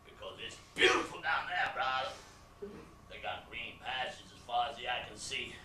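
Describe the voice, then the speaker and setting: An actor playing a streetwise gang inmate who mentors a new prisoner in a stage monologue, with slangy, profane talk. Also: male voice, mid-range voice, moderate pace, sharp tone, echoey room, recorded from a distance, slight background noise. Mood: swaggering.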